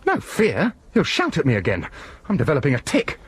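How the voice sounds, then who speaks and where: An actor playing a nervous, lovestruck young man in a comic radio drama, timid yet excitable. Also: fairly high pitch, brisk, breathy edge, exaggerated voice. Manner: jittery, excitable. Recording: noisy radio drama broadcast.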